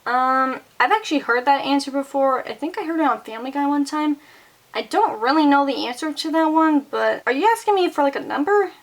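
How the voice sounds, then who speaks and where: Young woman vlogger, high-pitched voice, rambling and irritated. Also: a little fast. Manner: chatty, exasperated, fillers of "like" and mild swearing. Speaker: channel host. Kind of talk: casual Q&A chat.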